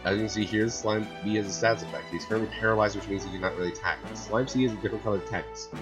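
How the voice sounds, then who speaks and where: A man with a hoarse voice from a cold, casually commenting on a video game and rambling with lots of fillers. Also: fairly low pitch, rapid-fire. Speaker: gameplay streamer. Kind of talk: casual let's-play commentary. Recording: gameplay with loud game music.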